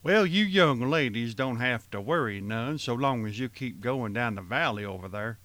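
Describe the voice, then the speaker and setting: A man's voice: medium pitch, animated, normal speed, a bit bright, no room sound, lightly acted. Guy doing folksy old-time radio countryman, easygoing, voice swings up and down. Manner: folksy, rural dialect grammar. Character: folksy rustic sleigh driver, easygoing countryman.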